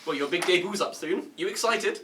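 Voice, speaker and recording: A man's voice: high voice, lively intonation, a little fast, pushed and bright. A man voicing a brash, friendly, crude woman performer with a sassy, chummy manner in a comedy table read. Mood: friendly, teasing. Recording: distant room mic with faint noise.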